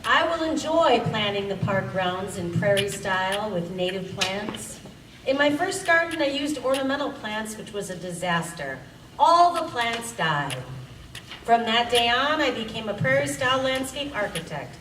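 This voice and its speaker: A woman's voice: fairly high pitch, very animated, moderate pace, background noise. A woman playing an enthusiastic landscape architect in an amateur radio play, chatty and explanatory. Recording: roomy, distant mic, music behind.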